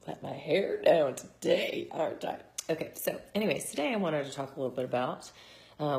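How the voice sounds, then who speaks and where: Hoarse, low-voiced chatty woman. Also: a little mellow. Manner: chatty, rambling with many fillers. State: hoarse from shouting. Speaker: vlogger and mom. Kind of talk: casual chat to camera.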